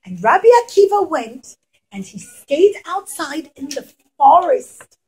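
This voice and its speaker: A woman's voice: high voice, sing-song, measured pace, a little mellow, low-quality recording. A woman telling a story to young children as an interactive puppet show, speaking emphatically, repeating phrases and putting questions to them.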